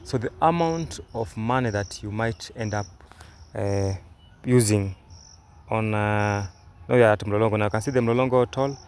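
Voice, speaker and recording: A man's voice: fairly low pitch, normal speed, a little mellow, a little hiss or hum. A young Kenyan man whose first language is Swahili, giving hesitant, explanatory travel commentary from inside a moving car, recorded on a phone, with many fillers and restarts. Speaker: travel vlogger.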